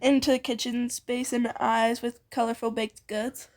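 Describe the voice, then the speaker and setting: A woman's voice: a little high, little pitch movement, normal speed. A young woman reading fan fiction aloud casually, giggly and often breaking off into banter. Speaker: amateur fan fiction reader and co-host.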